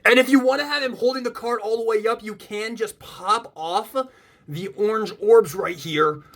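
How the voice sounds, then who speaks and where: A man hosting a casual toy unboxing and review, gushing and excitable as he demonstrates things step by step in informal language. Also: sits high, moderate pace. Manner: gushing, excitable, exclaims often. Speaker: toy review video host.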